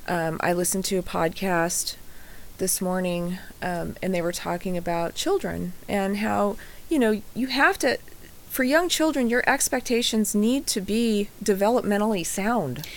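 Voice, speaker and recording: A woman speaks earnestly in a relaxed group chat, with a middling pitch. She rambles, working her point out aloud and filling the pauses with ums and you-knows. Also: low-quality recording. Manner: earnest, rambling, many fillers.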